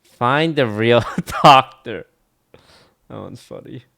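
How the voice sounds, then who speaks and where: A man's voice: medium pitch, lively intonation, slow pace, creaky, a bit bright. A man streaming, reacting to a video with dry, blunt, teasing remarks. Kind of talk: casual live-stream commentary.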